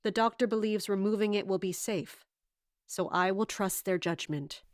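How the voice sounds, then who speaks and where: A woman's voice: mid-range voice, normal speed, dry recording, clean studio sound, close to an everyday voice. An actress playing a composed, formal and stern female captain with an authoritative manner in an audio drama. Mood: firm, formal.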